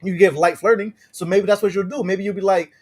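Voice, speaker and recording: A man's voice: high voice, fairly even intonation, a little fast, darkish tone, breathy edge. A man co-hosting a podcast, talking casually in a rambling way and acting out pickup lines. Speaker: podcast co-host. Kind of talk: casual chat.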